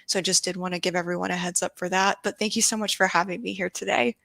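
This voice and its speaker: A woman's voice: fairly low pitch, steady tone, quick pace, creaky, a bit bright, no room sound, clean studio sound. A woman from Japan speaking as a guest expert in an interview, earnest and explanatory, choosing her words with care and consideration.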